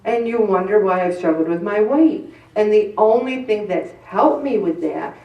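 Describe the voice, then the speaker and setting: A woman's voice: mid-range voice, animated, normal speed, dull audio. A middle-aged woman giving a candid, self-deprecating faith-based talk to a group, acting out her own inner voices. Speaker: group speaker. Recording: roomy echo from some distance.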